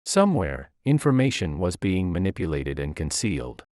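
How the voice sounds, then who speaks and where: A man reading a scripted first-person story aloud, dialogue included, in a measured and formal way. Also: low-pitched, sing-song, darkish tone, no room sound, clean studio sound. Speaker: story narrator.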